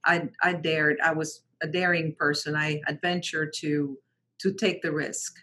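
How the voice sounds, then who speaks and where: Interview guest: woman from Mexico, native Spanish speaker, low and breathy, halting self-corrections. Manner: frequent restarts and repeated words, animated quoting of others. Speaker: guest, translation company founder. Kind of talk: conversational interview storytelling.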